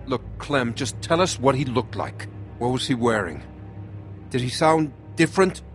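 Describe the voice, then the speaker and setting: A man playing a protective, wary middle-aged father in a survival video game, speaking in a grave, insistent, deliberate way. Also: mid-range voice, lively intonation, soft background music, lightly acted. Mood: urgent, questioning.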